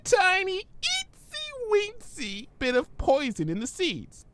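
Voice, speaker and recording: A man's voice: very high-pitched, wildly swooping pitch, slow pace, fairly bright tone, slight background noise, pitched above the performer's own voice, heavily acted. A man voicing a carefree, giggly young female cartoon pony in a breezy, chirpy, exclamatory way. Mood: breezy, playful.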